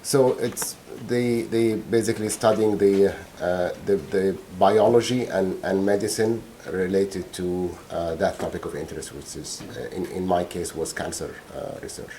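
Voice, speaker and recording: A middle-aged man from Egypt, gives courtroom testimony. His voice sits on the lower side and has a mellow, unforced tone. He answers haltingly and pauses to find the right words. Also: fairly even intonation, a little hiss or hum. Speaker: witness.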